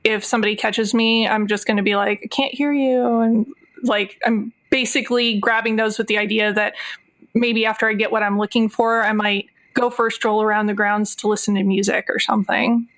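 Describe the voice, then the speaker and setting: A woman's voice: mid-range voice, flat delivery, a little fast, a bit bright. A woman playing a tabletop game, chatting informally and thinking aloud with a wry manner. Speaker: player in a tabletop role-playing podcast. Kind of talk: casual game chat.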